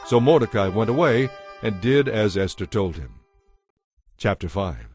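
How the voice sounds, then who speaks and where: A man's voice: fairly low pitch, lively intonation, a little slow, darkish tone. A man narrating scripture as a scripted, dramatized story, with a solemn storytelling delivery. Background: music.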